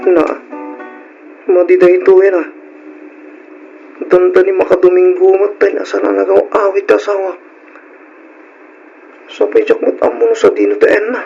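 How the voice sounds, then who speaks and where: An actor playing a stubborn, whiny husband in an old radio drama, plaintive and agitated, on a noisy recording. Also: male voice, sits high, little pitch movement, normal speed, gravelly, long pauses, dark tone, dull audio, faint music behind. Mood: anxious. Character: whiny, stubborn lazy husband and son, Michael.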